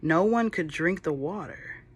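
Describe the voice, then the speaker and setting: Slow-talking woman reading to children. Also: fairly low pitch, expressive, slightly rough. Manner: gentle, chatty, affectionate asides to children. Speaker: storybook reader for children. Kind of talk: reading a children's Bible story aloud.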